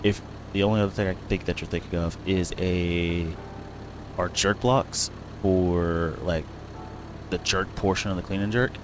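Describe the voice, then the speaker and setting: A man's voice: deep voice, fairly even intonation, normal speed, music in the background. A young man chatting casually while streaming a farming game, rambling with lots of fillers and self-corrections. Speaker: gaming streamer.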